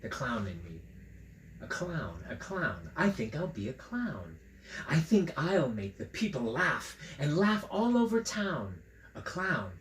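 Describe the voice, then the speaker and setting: Male children's story reader, unhurried and theatrically silly, recorded in an echoey room with noticeable noise. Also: a little high, big pitch swings. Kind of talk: read-aloud and chat for children. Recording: roomy echo, clear background noise.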